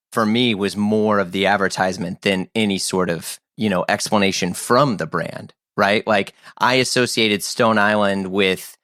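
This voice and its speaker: A man's voice: fairly low pitch, a bit bright, no echo, clean studio sound. A man hosting a casual podcast interview, enthusiastic, laughing often and restarting his sentences. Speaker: podcast host.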